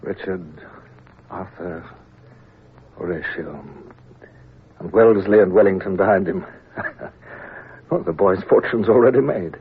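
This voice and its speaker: Brief: introspective British sea captain, very deep voice, tinny old radio sound. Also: male voice, husky, heavily acted. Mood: moved. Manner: introspective, measured and earnest. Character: reflective middle-aged male naval captain. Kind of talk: 1950s radio drama first-person narration.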